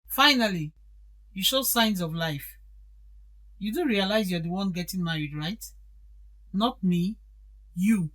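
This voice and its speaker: A woman's voice: medium pitch, lively intonation, a little slow, long pauses, fairly bright tone, low-quality recording. Woman acting a bossy, sarcastic stepmother. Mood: impatient, sarcastic. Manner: clipped, scolding, commanding. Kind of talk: audio drama acting.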